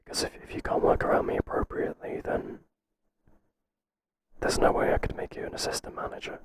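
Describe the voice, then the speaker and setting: A man playing a flustered but principled boss in an ASMR roleplay, speaking hesitantly in a breathy whisper. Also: close to an everyday voice. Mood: stern. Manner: hesitant, halting, gently firm. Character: flustered, professional male boss, kind but firm. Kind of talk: whispered ASMR roleplay, intimate second-person acting.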